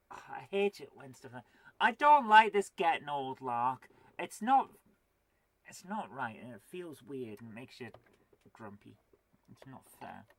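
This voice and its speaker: A woman's voice: low voice, a little hiss or hum. A woman of forty with a cold, hosting a live retro gaming stream, chatting to viewers and muttering to herself while she plays in a chatty, self-deprecating way. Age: 40s. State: has a cold. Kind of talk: live gameplay stream chat.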